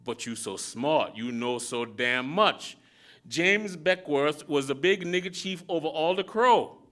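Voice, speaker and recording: A man voicing a gruff older male mechanic who lectures a young man in a rough, drawling way. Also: sits high, a bit bright, pitched above the performer's own voice.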